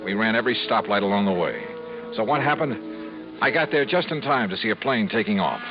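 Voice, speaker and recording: Hard-boiled investigator, wry clipped narration, 1950s radio drama, lo-fi recording, music behind. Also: male voice, fairly low pitch, lively intonation. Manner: wry, clipped, fast-talking, hesitant when flirting. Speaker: narrator and lead.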